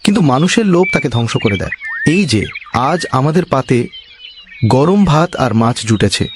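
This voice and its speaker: A man's voice: on the high side, sing-song, a little fast, slight background noise. A man voicing a humble, earnest poor village husband in a cartoon, speaking in a cautioning, moralising way. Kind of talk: cartoon voice acting.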